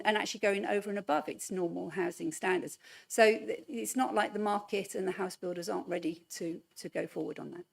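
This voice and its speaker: A woman making a halting statement at a public hearing, with restarts and fillers. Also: mid-range voice, creaky. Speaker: hearing participant.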